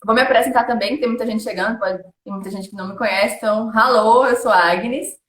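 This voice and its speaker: A woman's voice: a little high, fast, fairly bright tone. A woman from Salvador, hosting a live-stream interview in a chatty, friendly way and laughing often. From Salvador, Bahia, Brazil. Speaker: host, German teacher. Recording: echoey room.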